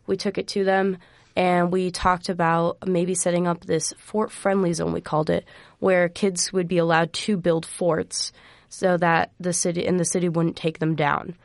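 A woman's voice: on the low side, level tone, moderate pace, no echo, studio quality. A young woman from Iowa, a guest on a radio show, speaking earnestly with a lot of hesitant fillers. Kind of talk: radio interview. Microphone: studio microphone.

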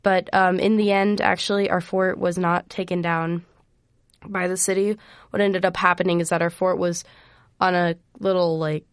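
Young woman from Iowa, radio guest; earnest, hesitant, flat low delivery, dry mic. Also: normal speed, smooth, no echo. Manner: earnest, hesitant, many fillers. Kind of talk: radio interview. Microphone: studio microphone.

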